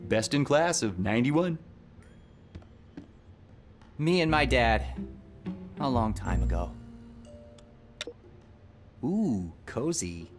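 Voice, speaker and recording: A young inventor for an adventure game, eager and proud of his work. His delivery swings freely in pitch, casual with a wry edge, over faint music. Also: very animated, slow pace, pauses often. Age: young adult. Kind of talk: video game character dialogue.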